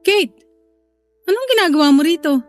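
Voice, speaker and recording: A woman plays a scolding elder sister in a Filipino audio drama. The voice is very high with a Filipino accent, sarcastic and accusing, over faint music. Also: lively intonation, normal speed. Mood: suspicious, sarcastic.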